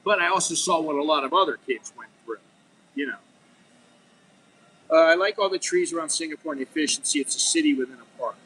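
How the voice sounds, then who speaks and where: A man's voice: fairly high pitch, fairly quick, fairly bright tone, breathy edge, rough recording. A middle-aged American man talking frankly in a rambling, unscripted monologue, full of restarts and "you know.". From United States. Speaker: vlogger.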